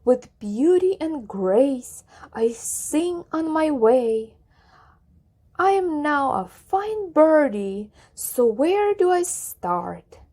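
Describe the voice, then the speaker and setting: A woman's voice: sits high, big pitch swings, drawn-out words, dark tone, pitched above the performer's own voice, full character voice. A woman voicing a naive, earnest young male elephant from a rhyming children's storybook. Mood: proud, hopeful. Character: naive, cheerful young male elephant who loves singing.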